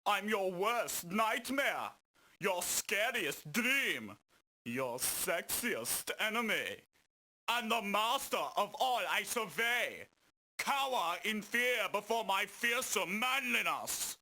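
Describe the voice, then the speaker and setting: Slow, forceful villain braggart. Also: male voice, high-pitched, big pitch swings, exaggerated voice. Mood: menacing. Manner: pompous, taunting, grandiose declarations. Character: boastful muscle-bound male villain, menacing and ridiculous. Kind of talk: exaggerated video game cartoon acting.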